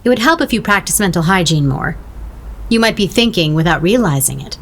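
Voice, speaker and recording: A woman's voice: fairly low pitch, animated, a little fast. An actress playing a blunt, wry woman friend in an audio drama, dryly sarcastic and coaxing.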